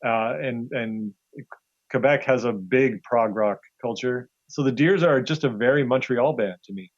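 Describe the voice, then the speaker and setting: A man's voice: medium pitch, a little slow, no room sound. Man chatting, slightly muffled audio. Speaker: author, interview guest. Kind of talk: casual, anecdotal interview talk.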